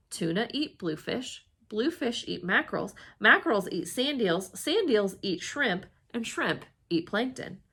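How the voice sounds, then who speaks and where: Female storybook reader, slightly high pitch, swooping expressive delivery, dramatic emphasis for young listeners. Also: creaky, slightly rough. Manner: dramatic, with emphatic exclamations and hushed suspense. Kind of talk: expressive children's read-aloud narration.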